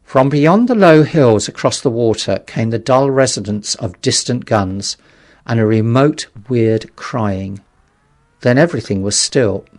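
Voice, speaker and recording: A man's voice: medium pitch, lively intonation, a little slow, darkish tone. A man narrating a literary audiobook in a dramatic, scripted storytelling style.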